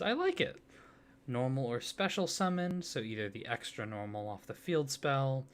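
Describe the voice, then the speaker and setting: A man speaking softly and warmly in an ASMR roleplay as a friendly card designer, gently enthusiastic. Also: mid-range voice, slight background noise. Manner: soft-spoken, gently enthusiastic. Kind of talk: soft-spoken ASMR roleplay.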